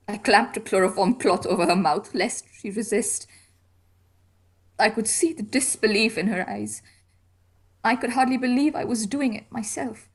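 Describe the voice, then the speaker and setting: Woman as dying murderess, radio play, mid pitch, grave, bitter, deliberate. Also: slightly rough. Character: bitter, dying murderess making her confession.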